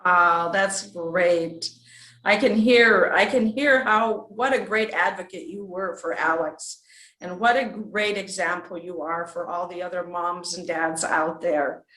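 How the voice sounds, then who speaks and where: Slow, encouraging woman host, echoey room. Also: fairly low pitch, sharp tone. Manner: encouraging, effusive. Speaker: children's storytime host. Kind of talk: host interview and sign-off to children.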